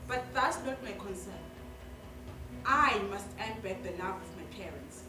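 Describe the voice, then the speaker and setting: A woman's voice: mid-range voice, lively intonation, unhurried, background noise, lightly acted. Woman playing an anxious young woman, echoey stage. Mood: ashamed, anxious. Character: ashamed pregnant young woman, anxious and dutiful. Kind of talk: solemn stage play monologue. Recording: echoey stage, distant mic, music behind.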